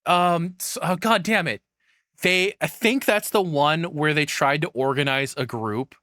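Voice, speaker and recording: A man's voice: a little high, a little slow, pushed and bright, no room sound. Casual, profane man with a very dry sound. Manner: profane, rambling, informal. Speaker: streamer reacting to a video. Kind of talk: casual live reaction commentary.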